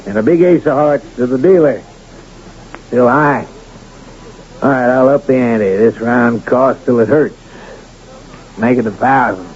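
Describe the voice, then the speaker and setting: A man's voice: mid-range voice, darkish tone, close to an everyday voice. Man acting a brash, crooked Western card dealer. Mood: brash, confident. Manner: brash, taunting, menacing. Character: domineering crooked card dealer who runs a Western town. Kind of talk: 1970s radio drama acting. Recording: dull, noisy 1970s radio recording.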